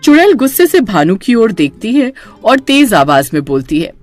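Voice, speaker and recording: Woman telling a scary story, narrator style, lively intonation, bit of music behind. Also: fairly high pitch, moderate pace. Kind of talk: scripted horror story narration.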